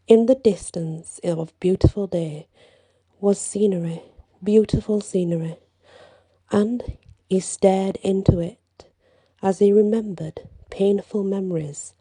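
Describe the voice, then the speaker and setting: Slow, soft, dark-voiced woman reading ASMR stories. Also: lowish voice, no room sound. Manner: soft, breathy, drifting into whispers. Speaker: storyteller. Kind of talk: ASMR bedtime story reading.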